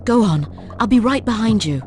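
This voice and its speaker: A woman's voice: on the high side, lively intonation, normal speed, close to an everyday voice. A woman playing a weakened but wry rescued secret agent in a video game. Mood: weak, anxious. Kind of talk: video game cutscene acting. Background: music.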